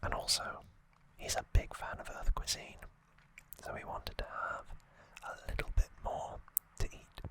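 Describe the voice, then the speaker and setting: An ASMR host whispering a recap of trivia in a breathy, unvoiced voice, with halting, informal asides. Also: a bit bright.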